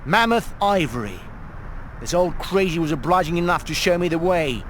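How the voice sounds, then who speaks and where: An actor playing a greedy, menacing villain in a video game, mocking and taunting in a lecherous way. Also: male voice, on the high side, lively intonation, normal speed, slight background noise, close to an everyday voice. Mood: gloating. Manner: taunting, mocking, with sneering pet names.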